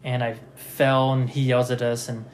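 A man in his thirties from Nevada hosting a casual podcast chat, telling stories in a relaxed, self-deprecating way. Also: mid-range voice, moderate pace, a little hiss or hum. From Nevada, USA. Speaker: podcast host. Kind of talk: casual conversation, storytelling.

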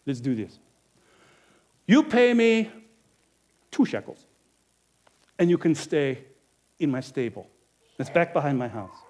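A man performing a greedy, self-justifying innkeeper in a first-person monologue, swinging between loud bluster and hushed confession. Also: on the high side, very animated, takes it slowly, pauses often. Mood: salesy. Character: greedy self-justifying male Bethlehem innkeeper, middle-aged. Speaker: storyteller performing a character monologue.

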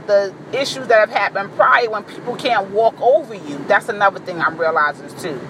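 A woman with a deep voice giving a blunt, profane, boisterous vlog monologue, recorded in a car. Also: noisy background. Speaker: vlogger. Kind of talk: candid monologue to camera.

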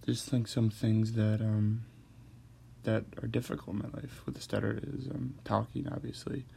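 A man who stutters, talking hesitantly with many pauses and fillers as he hosts a personal monologue. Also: on the low side, level tone, vocal fry, mellow, slight background noise. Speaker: host.

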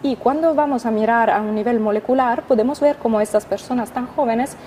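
A young woman with an Italian accent, a physician speaking as a radio interview guest, careful and halting, with many filler sounds. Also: fairly high pitch, dark tone, slight background noise. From Italy.

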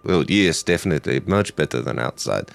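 A man putting on a gruff Soviet soldier with a Russian accent. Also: rumbling low, animated, a little fast, no echo, full character voice. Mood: bargaining. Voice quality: broken English.

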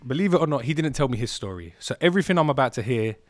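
A man's voice: mid-range voice, lively intonation, racing, dry recording. A man hosting a podcast interview, easygoing and encouraging. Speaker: podcast host.

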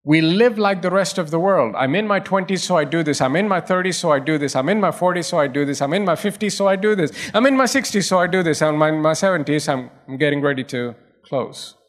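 A man's voice: a little high, fast. A male pastor preaching a sermon, pressing his points through repetition and rising emphasis.

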